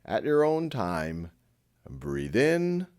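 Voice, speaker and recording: A man leading a guided meditation in a gentle, unhurried, reassuring way. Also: mid-range voice, wildly swooping pitch, clear, resonant tone.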